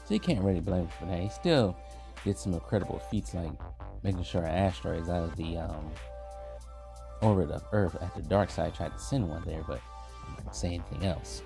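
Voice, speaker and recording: A man's voice: low-pitched, fairly quick, gravelly. A man hosting a review video in a casual, loosely phrased, opinionated conversational style. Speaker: host of an animation history video series. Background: background music.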